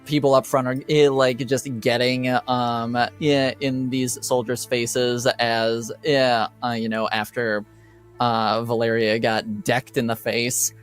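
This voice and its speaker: A man improvising tabletop game narration as game master, speaking casually and haltingly. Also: mid-range voice, flat delivery, clean tone, faint music behind.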